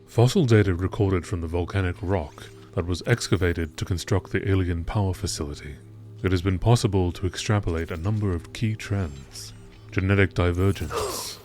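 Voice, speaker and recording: A man reading scripted science-fiction lore in the measured, informative style of a nature documentary narrator. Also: sits low, darkish tone.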